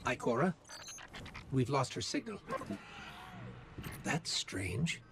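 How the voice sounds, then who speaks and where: An actor voicing a small, eager, inquisitive robot companion in a video game, earnest and alert. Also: male voice, medium pitch, big pitch swings, slow pace, creaky, very noisy, full character voice. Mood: alert, concerned.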